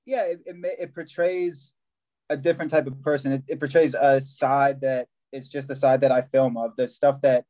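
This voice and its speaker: A man's voice: mid-range voice, level tone, moderate pace, dark tone. A young man, a long-distance hiker, chatting over a video call from a small room, often restarting his sentences and laughing easily. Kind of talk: casual video call chat.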